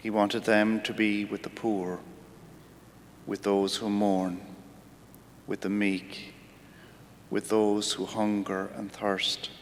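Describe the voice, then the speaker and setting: A man with an Irish accent, a priest giving a weekday homily in a slow, reflective, measured way. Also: a bit bright. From Ireland. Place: church. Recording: church hall reverb with faint rumble.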